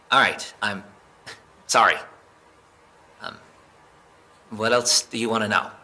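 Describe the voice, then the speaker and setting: A man playing an evasive, jittery young man being questioned by police, halting and defensive, in a naturalistic audio drama. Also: lowish voice, steady tone, sharp tone, exaggerated voice. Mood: subdued, uneasy.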